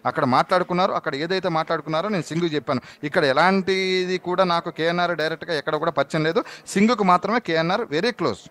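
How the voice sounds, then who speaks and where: A man's voice: high voice, rapid-fire, a bit bright. A man phoning in to a live show, explaining his side insistently and politely, often repeating himself. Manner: insistent, repetitive, polite pleading. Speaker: phone-in guest giving his side. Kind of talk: live phone interview, explaining. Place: on a phone call to a live TV show.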